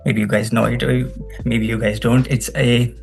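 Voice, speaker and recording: A man's voice: mid-range voice, little pitch movement, moderate pace, vocal fry, soft background music. A man with a Pakistani accent speaking as an interview guest, explaining things conversationally and checking in with "right?". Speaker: guest, global health researcher.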